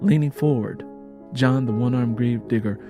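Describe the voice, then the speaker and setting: A man's voice: medium pitch, normal speed, warm tone, faint music behind. A man narrating a scripted audio drama as a dramatic storyteller.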